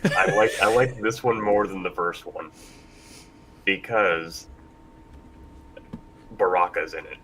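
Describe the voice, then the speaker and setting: A man's voice: low-pitched, steady tone, slow, pauses often, a crowd in the background, poor audio. A man hosting a casual panel discussion, talking informally before a live room. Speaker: panel host.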